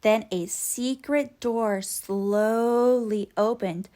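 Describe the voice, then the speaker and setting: Woman reading a kids story out loud, slow, highish voice, really expressive. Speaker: storybook reader. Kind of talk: expressive read-aloud narration for children.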